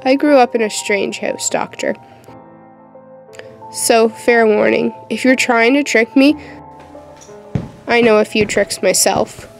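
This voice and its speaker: An actress playing a defiant, sharp-tongued teenage witch in an audio horror drama, intense and dramatic. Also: a little high, slow pace, vocal fry, long pauses, soft background music.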